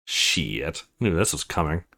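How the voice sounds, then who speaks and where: Man reading a visual novel, swooping pitch. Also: sing-song, slow pace, gravelly, pushed and bright. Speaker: let's play host reading a visual novel aloud. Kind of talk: reading game narration and dialogue aloud.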